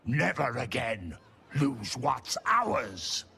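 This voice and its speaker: Slow, creaky, possessive demon spirit. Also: male voice, medium pitch, very animated, sharp tone, exaggerated voice. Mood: fierce, possessive. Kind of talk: video game voice acting.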